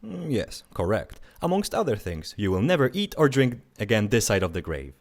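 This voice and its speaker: A man's voice: on the low side, sing-song, normal speed. Man playing sarcastic afterlife guide. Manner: dry, sarcastic. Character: wry male spirit guide to the afterlife. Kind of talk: amateur audio drama.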